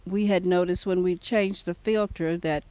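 An older woman speaks softly and politely, often pausing with "uh" and "um" as she gathers her words. She is recorded outdoors on a handheld mic held toward her, and the sound is dry. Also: on the low side, fairly even intonation, clear, resonant tone, no room sound. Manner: soft-spoken, polite, hesitant with many fillers. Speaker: customer. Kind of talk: casual testimonial answers. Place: outdoors in front of a house. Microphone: handheld mic held by the interviewer.

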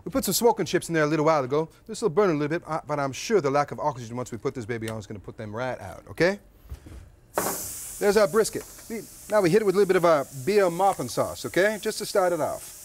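A man's voice: fairly high pitch, lively intonation, quick pace, a little hiss or hum. A man in his thirties hosting a cooking show at an outdoor grill, chatty and joking as he cooks. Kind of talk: cooking demonstration.

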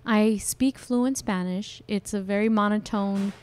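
A woman's voice: mid-range voice, steady tone, a little slow, darkish tone, smooth. A woman from the United States hosting a podcast in casual chat, chatty and encouraging. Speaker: podcast host.

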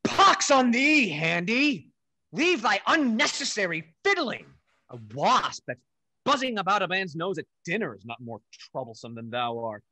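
Man playing a haughty, expressive Restoration rake. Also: high voice, unhurried, no echo, exaggerated voice, poor audio. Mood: irritated. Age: young adult. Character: vain, cynical bachelor rake, haughty with servants. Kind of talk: stage acting in a Restoration comedy over video call. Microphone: video call.

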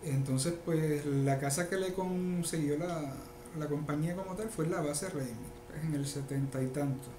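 Middle-aged male guest, Puerto Rican accent, fairly high voice, halting casual delivery, roomy echo. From Puerto Rico and the mainland United States. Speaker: interview guest, luthier and musician. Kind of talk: casual interview talk. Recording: echoey room, faint background noise.

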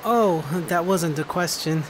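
A woman's voice: fairly low pitch, measured pace, natural voice. A woman playing a cheeky but polite young twin brother in an audio drama. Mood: cheeky, flustered. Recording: faint ambient background noise.

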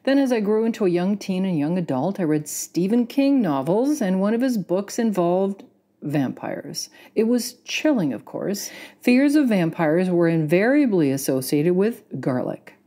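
A woman's voice: medium pitch, sing-song, measured pace, dark tone. A middle-aged woman whose first language is Romanian, reading a wry personal story. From Canada. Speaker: listener contributor.

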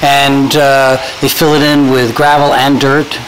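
A man's voice: mid-range voice, little pitch movement, unhurried, a bit bright, slight background noise, rough recording. A man hosting an informal video update, interviewing and narrating as he goes. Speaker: host and interviewer. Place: outdoors on a golf course under construction.

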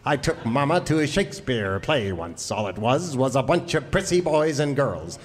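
A man plays a folksy quack doctor selling on comic radio. He is pompous, with an average-pitched voice in long hall reverb. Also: very animated, moderate pace, close to an everyday voice. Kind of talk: parody radio commercial.

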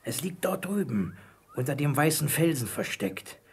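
Marooned old pirate, gravelly, raspy, confiding, theatrical, wary, radio play. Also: male voice, on the high side, very animated, normal speed, full character voice. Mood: secretive, cautious. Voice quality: husky, creaky. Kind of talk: German radio play acting.

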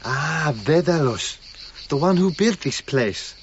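A man's voice: a little high, expressive, takes it slowly. An actor playing a deferential yet passionate Cretan foreman with a Greek accent in a radio drama. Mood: earnest, passionate. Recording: dull lo-fi radio recording, faint noise.

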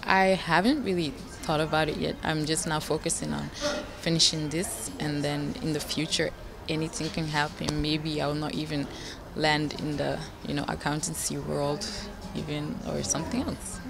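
A woman's voice: sits low, level tone, moderate pace, vocal fry, hardly any pauses, crowd noise. A young woman from Belgium, a pageant contestant, answering interview questions in a hesitant way with many fillers. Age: young adult. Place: indoor lounge on a sofa. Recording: music playing behind the voice. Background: background music.

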